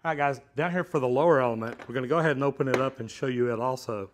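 A practical, plain-spoken man in his forties walks viewers through a plumbing demonstration. His voice sits at an ordinary middle pitch, close-miked on a lapel, in a small metal-walled indoor space. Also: rapid-fire, a little hiss or hum. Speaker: plumber and instructor. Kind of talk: hands-on instructional demonstration. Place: metal storage unit, indoors. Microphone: lapel mic.

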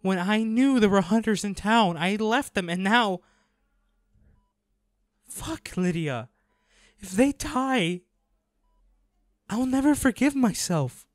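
A man playing a devoted, anguished boyfriend in an intimate audio roleplay, pleading and halting with emotion. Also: high-pitched, expressive, no echo, studio quality. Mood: quiet, guilt-ridden. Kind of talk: intimate dramatic audio roleplay, one-sided dialogue.

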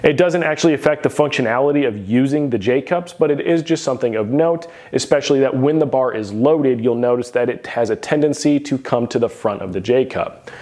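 A man's voice: mid-range voice, brisk, a little mellow. A man in his thirties, seated in a garage gym, giving a detailed, plain-spoken equipment review to camera.

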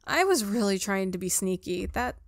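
A woman's voice: medium pitch, sing-song, normal speed, no room sound, studio quality. A woman voicing a shy, awkward, friendly college ghost in a visual novel, nervous, hesitant and stammering. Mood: nervous, eager.

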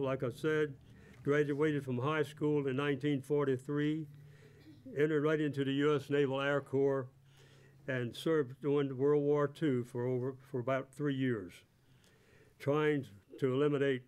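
A man in his eighties with a mid-range voice speaks at a church pulpit. He gives a testimony from notes in a halting way, often repeating a word before he carries on. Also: mid-range voice, a little mellow, clear, resonant tone. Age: 80s. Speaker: church member giving testimony. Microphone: microphone on a stand at the pulpit.

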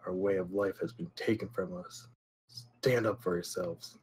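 Contemptuous fantasy elf hunter played by a man, very deep register, menacing. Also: big pitch swings, normal speed, creaky, a little mellow, slightly rough, exaggerated voice, poor audio. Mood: scornful. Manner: scornful, menacing. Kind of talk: character voice acting audition.

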